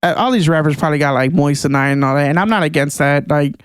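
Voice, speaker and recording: A young man talks in a casual podcast chat, excitable and full of slang. His voice sits high and comes through smooth and clear. The sound is dry and close, with no room around it. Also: fast, no echo. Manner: excitable, slangy, says "bro" a lot. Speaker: podcast co-host.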